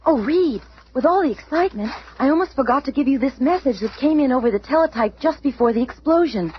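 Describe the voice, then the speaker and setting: An actress playing an anxious, caring young superheroine in a 1970s radio drama. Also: female voice, sits high, animated, fast talker, lo-fi sound. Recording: old radio recording, faint noise.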